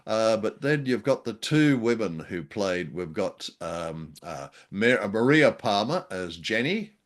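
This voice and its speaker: An older man with a fairly low, very animated voice. He chats casually as a review show co-host. His delivery is halting and wry, leaning into dry jokes. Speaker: podcast co-host. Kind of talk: casual chat, reviewing an old radio drama.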